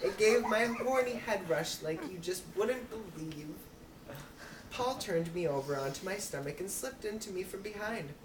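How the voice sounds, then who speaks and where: A woman reading a story aloud as an amateur, with a giggly manner. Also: medium pitch. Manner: giggly, breaking into laughs while reading. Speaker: amateur reader. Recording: roomy, distant mic with clear noise.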